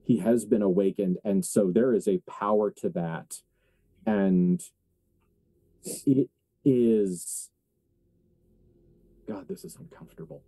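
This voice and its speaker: A man acting as game master, narrating a tabletop horror roleplaying game in deliberate, halting phrases. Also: fairly low pitch, dark tone, no room sound.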